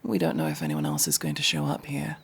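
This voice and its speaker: A man playing a guarded, dry elf mercenary in an ASMR fantasy roleplay, gruffly protective and matter-of-fact, with a gravelly, sometimes breathy voice. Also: very deep voice, very animated, fast, a bit bright, full character voice. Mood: businesslike. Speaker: voice actor in audio roleplay.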